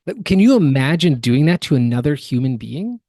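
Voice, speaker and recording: Man, opinionated commentator, emphatic, analytical, medium pitch, dry room. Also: sing-song, fairly quick, mellow, dry recording. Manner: analytical, emphatic, builds word lists. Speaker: podcast co-host.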